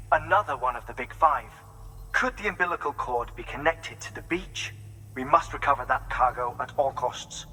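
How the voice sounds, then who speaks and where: An actor playing an excited, bookish scientist in a video game, speaking in eager, formal, precise words. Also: male voice, mid-range voice, a little hiss or hum, lightly acted, low-quality recording. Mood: urgent fascination. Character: excited, bookish male scientist studying fossils and extinctions. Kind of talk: video game cutscene acting.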